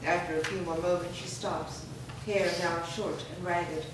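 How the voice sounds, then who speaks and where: A woman with a slightly low voice narrates screenplay stage directions. She is heard from a distance in a very reverberant room, with noise behind her. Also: level tone, a little slow.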